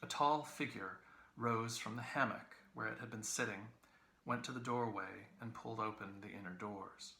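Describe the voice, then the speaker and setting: Bright, creaky man narrating a novel. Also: lowish voice, normal speed, roomy sound. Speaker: reader, English professor. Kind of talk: literary audiobook narration.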